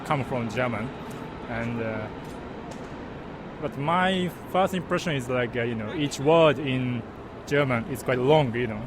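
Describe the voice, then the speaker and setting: A man with a Japanese accent, a native Japanese speaker, giving casual, halting answers as a tourist interviewed on the street. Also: medium pitch. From Tokyo, Japan. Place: outdoors on a street. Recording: noisy street with traffic.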